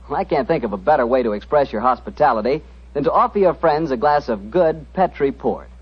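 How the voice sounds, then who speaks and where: A man's voice: mid-range voice, lively intonation, a little fast. An American man announcing in polished 1940s radio style, with emphatic, friendly sponsor patter. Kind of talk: 1940s radio announcing, scripted sales pitch. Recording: dull, rough vintage 1940s radio broadcast.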